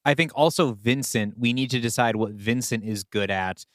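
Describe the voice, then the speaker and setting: Clear-voiced man casually floating ideas. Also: mid-range voice, moderate pace, no room sound, studio quality. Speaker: podcast co-host and writer. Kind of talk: casual story brainstorming.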